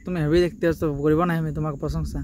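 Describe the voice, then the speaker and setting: Very fast-talking, high-pitched young Bengali man. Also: noisy background.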